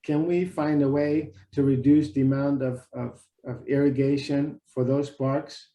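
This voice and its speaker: A man's voice: medium pitch, little pitch movement, unhurried, dark tone, highs cut off. A man in his thirties with a Dutch accent, presenting casually on a video call, talkative and often repeating words. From Netherlands. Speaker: webinar presenter. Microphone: video call webcam. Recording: echoey room on a video call.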